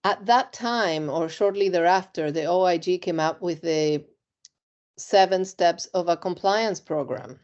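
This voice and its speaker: Low-voiced woman from Argentina. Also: a little mellow, highs cut off. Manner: measured, reflective, with frequent ums and repeated words. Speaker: compliance executive, interview guest. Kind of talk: career interview.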